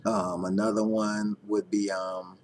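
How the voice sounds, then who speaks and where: A man's voice: fairly low pitch, little pitch movement, measured pace. A young man from Guyana with a Guyanese accent, chatting casually and rambling with many fillers and repeated words. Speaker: vlogger. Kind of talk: casual chat answering tag questions.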